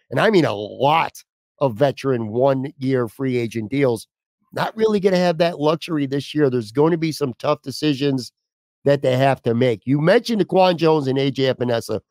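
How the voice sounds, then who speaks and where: A man's voice: medium pitch, a little mellow, no echo. A man hosting a sports podcast in a casual, blunt, opinionated and informal way. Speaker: podcast host.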